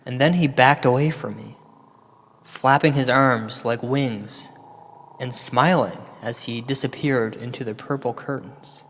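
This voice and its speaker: A man telling a comedic ghost story as a storyteller, deadpan and hesitant, with mock-gothic dramatic pauses. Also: medium pitch, a little hiss or hum. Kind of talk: slow comedic ghost-story narration, part verse recital. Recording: long hall reverb over music. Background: music.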